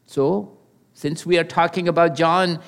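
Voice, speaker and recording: Older guy preaching, slow and careful, kinda high voice, lots of uh pauses. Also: steady tone, creaky. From Pakistan. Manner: earnest and measured, with pauses and hesitations. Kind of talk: sermon with personal testimony.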